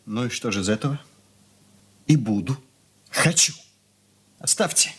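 Moody rebellious teen son, husky voice, curt n brooding, old radio play style. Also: male voice, medium pitch, lively intonation, measured pace, a bit bright. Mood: sullen defiance. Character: sullen, desperate, rebellious gymnasium schoolboy son. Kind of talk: Russian radio drama acting.

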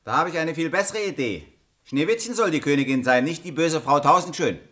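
Casting: cheeky fairy-tale hero for radio; forceful, very lively, theatrical. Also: male voice, fairly high pitch, fairly quick. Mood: scheming. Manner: exclamatory, theatrical. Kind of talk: German radio play fairy-tale acting.

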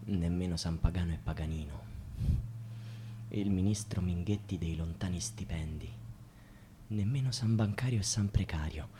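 An actor playing an embittered young student in a radio drama, speaking in solemn, rhetorical, litany-like phrases. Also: male voice, on the low side, darkish tone, a little hiss or hum, natural voice. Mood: hushed, bitter. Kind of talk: theatrical radio drama monologue, litany-like chanting.

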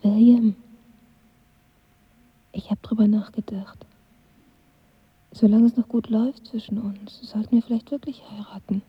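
Guarded young lover, teasing, higher-pitched and very husky, half-whispered intimate radio play delivery. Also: female voice, little pitch movement, measured pace, long pauses. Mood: earnest, vulnerable. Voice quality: breathy, often half-whispered. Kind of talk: intimate audio drama acting. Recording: dull studio drama sound.

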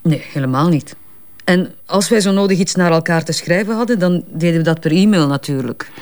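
A woman's voice: lowish voice, darkish tone, natural voice. Self-assured Flemish businesswoman under questioning, played by an actress. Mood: composed, firm. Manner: brisk, candid, hesitant in spots, defensive. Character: self-assured female advertising strategist under police questioning. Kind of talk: naturalistic crime drama acting.

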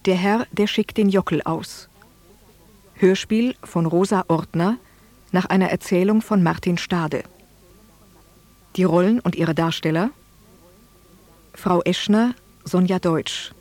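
A woman's voice: fairly low pitch, takes it slowly, long pauses, a little mellow, no echo. A woman reading scripted closing credits as a radio drama announcer.

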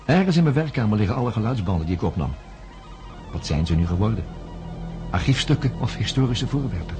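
An actor narrating a radio drama in the first person, speaking in a measured, reflective way. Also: male voice, fairly low pitch, very animated. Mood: pensive. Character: calm reflective male narrator recalling events. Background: music.

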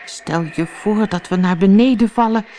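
A woman's voice: medium pitch, normal speed, warm tone, slight background noise, close to an everyday voice. A woman voicing a gloomy, worried old husband in a storybook fairy tale, anxious and resigned. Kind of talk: storybook character voice.

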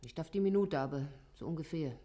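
An actress playing a curt, guarded mistress of the house in a radio play, clipped and defensive. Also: female voice, sits low, fast, mellow, close to an everyday voice. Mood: evasive. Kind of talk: German radio play acting.

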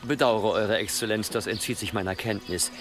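An actor playing an archbishop's deferential, obliging deputy in a German radio drama, with a formal, servile manner. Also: male voice, fairly low pitch, steady tone, moderate pace, close to an everyday voice. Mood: servile, careful. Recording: music behind the voice.

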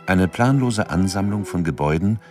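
A man narrating a radio drama in a measured, sober, scripted documentary style. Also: low voice, animated, over music.

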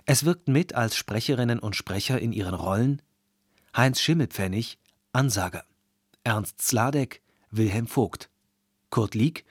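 A man reading formal scripted credits as a radio announcer, measured and precise. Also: mid-range voice, lively intonation, slightly rough, no room sound, clean studio sound.

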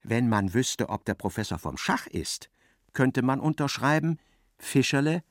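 An actor narrating a literary radio play, an expressive and ironic storyteller. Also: male voice, mid-range voice, a little slow, dry recording, clean studio sound, lightly acted. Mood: wry narration. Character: wry literary narrator of a novel, male.

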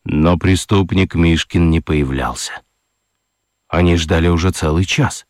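Мужчина-рассказчик детского радиоспектакля читает выразительно, с драматическими паузами. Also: male voice, low-pitched, dry recording, clean studio sound. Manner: deliberate, dramatic pauses. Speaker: narrator. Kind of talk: expressive scripted storytelling for children's radio play.

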